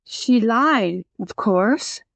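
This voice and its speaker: A woman playing a weak, wounded, gentle queen in an audio drama, faltering and solemn. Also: fairly high pitch, animated, very slow, vocal fry, no echo, heavily acted. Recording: slightly dull, music underneath.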